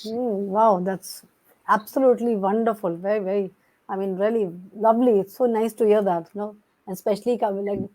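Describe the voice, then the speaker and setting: A woman's voice: mid-range voice, fairly even intonation, fairly quick, mellow, rough recording. A woman hosting a chat show interview, effusive in her praise and backchanneling often. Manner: effusive, constant "hmm" backchannels.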